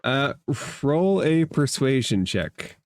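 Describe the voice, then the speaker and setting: A man's voice: medium pitch, very animated, slow, no room sound, clean studio sound. A man running a tabletop role-playing game as the game master.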